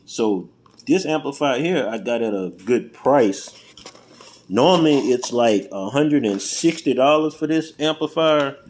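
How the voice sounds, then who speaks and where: Slow-talking American man, noisy recording. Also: mid-range voice, lively intonation, darkish tone. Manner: informal, folksy, colloquial. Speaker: vlogger unboxing and reviewing car audio gear. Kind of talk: casual hands-on unboxing chat. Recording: clearly noisy home-video recording.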